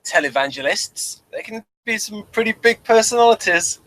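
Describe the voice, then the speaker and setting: A man's voice: high voice, animated, moderate pace, fairly bright tone, low-quality recording. A man chatting casually and without a script as a podcast co-host. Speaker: podcast co-host reviewing a TV show. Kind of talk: casual unscripted chat.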